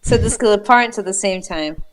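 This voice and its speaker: A woman's voice: mid-range voice, normal speed. Giggly woman reading a story aloud. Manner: giggly, informal. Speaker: reader of fan fiction and co-host. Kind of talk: casual read-aloud with giggly banter.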